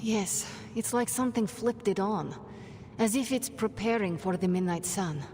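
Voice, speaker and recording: A woman's voice: mid-range voice, moderate pace, darkish tone, in a large hall, a little hiss or hum, close to an everyday voice. An actress playing a cocky young female sorceress with a sword, talking in a wry, casual way. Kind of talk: video game cutscene acting.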